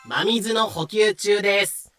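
A man narrates a story in a high, bright voice. He reads scripted storytelling lines with light music underneath. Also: slow. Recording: music bed behind voice.

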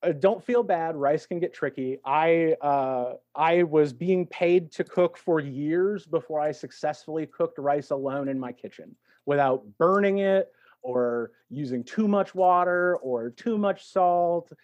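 A man's voice: a little high, a little slow, darkish tone, dry recording, muffled recording. A man talking in a reflective, conversational way as a podcast guest, encouraging and reassuring as he gives advice. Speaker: podcast guest, actor and tarot reader.

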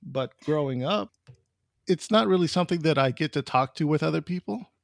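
A man hosts a podcast, rambling through his points with lots of fillers and repeated words. His voice is gravelly, and the recording is dry and close. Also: a little high, expressive, fairly quick, no echo. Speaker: podcast host. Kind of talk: casual interview conversation.

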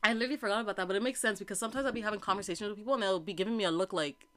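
A young woman co-hosting a casual podcast chat, talking in a dry, flat way with lots of "like" and frequent laughter. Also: medium pitch, racing. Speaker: podcast co-host.

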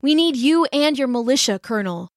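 Woman putting on a teen boy rider from a storybook: wounded, breathless, urgent, lifted high. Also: animated, fairly quick, no room sound, clean studio sound. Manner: breathless, pleading. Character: sixteen-year-old boy messenger, wounded and urgent.

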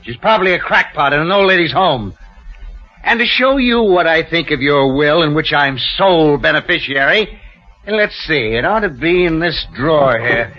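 An actor playing a hard-boiled publicity agent in an old radio drama, blunt, impatient and scornful. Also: male voice, a little high, expressive, moderate pace, soft background music. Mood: contemptuous, impatient. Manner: blunt, brusque, dismissive. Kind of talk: 1940s radio drama acting. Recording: muffled old radio recording.

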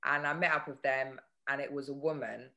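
Husky-voiced woman telling a story. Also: brisk, highs cut off. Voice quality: husky, lower voice. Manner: animated, hesitant restarts. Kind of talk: casual chat, storytelling.

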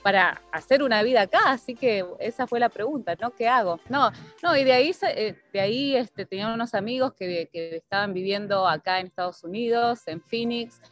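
A woman's voice: fairly high pitch, fairly quick, few pauses, a bit bright, dry recording. Hesitant Argentine woman over faint music. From Bahía Blanca, Argentina. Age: 40s. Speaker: interview guest. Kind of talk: personal storytelling in a casual interview.

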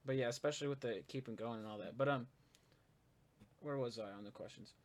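A man's voice: medium pitch, fairly quick, a little hiss or hum. Chatty man hosting an interview. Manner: chatty, filler-heavy, supportive. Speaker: interviewer, YouTube channel host. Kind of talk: casual interview chat.

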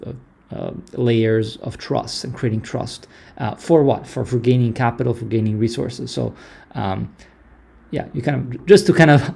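Gravelly, halting man hosting a live talk. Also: mid-range voice, darkish tone. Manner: halting, many fillers and restarts. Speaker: host of an online audio discussion. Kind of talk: casual live audio chat. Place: online audio room.

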